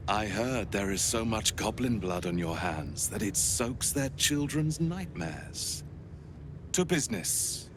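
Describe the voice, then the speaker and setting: An actor playing an imperious, devout warrior inquisitor in a video game, speaking formally with cold courtesy and menace. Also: male voice, medium pitch, background noise. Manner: formal, measured, commanding. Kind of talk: video game cutscene acting.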